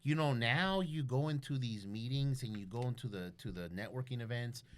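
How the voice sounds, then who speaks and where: A man's voice: medium pitch, moderate pace. A man speaking casually as a podcast guest, telling stories in a blunt, profanity-laced way and acting out quoted dialogue. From Guatemalan background. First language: bilingual English and Spanish. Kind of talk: casual storytelling interview.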